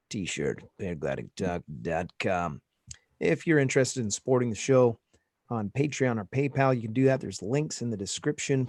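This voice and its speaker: Male host, friendly pitch for support, mid pitch, close dry sound. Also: darkish tone, no room sound. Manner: friendly, plugs the show. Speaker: podcast host. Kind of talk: live-stream show hosting.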